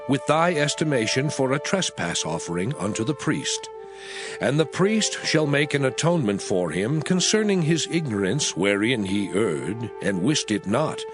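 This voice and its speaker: Solemn man reading scripture with lively intonation. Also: mid-range voice. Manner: solemn, measured, declamatory. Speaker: scripture reader.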